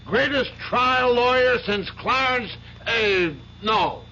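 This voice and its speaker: An actor playing a hearty older law-firm boss hosting a party in a 1970s radio drama, jovial and blustering. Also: male voice, very high-pitched, slow pace, heavily acted. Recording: dull radio broadcast, noisy party crowd. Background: loud party crowd chatter.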